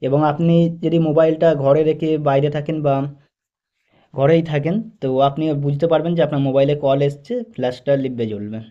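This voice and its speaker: A man's voice: on the high side, fairly even intonation, fairly quick, warm tone. A man narrating a step-by-step phone tutorial in a methodical, instructional way with repetitive phrasing. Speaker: tech tutorial host. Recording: dull, lo-fi voice-over recording.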